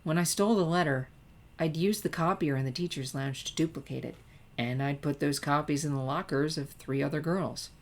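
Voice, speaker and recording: A woman's voice: sits low, fairly quick. A woman voicing a regretful teenage girl who confesses past scheming in a hesitant, reflective way, in a story reading. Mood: reflective, rueful.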